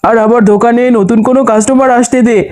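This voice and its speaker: A man's voice: extremely high pitch, steady tone, fast, a little mellow. A man voicing a stingy, impatient tiger shopkeeper who scolds curtly in a children's cartoon story. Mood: irritated, dismissive. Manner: scolding, curt.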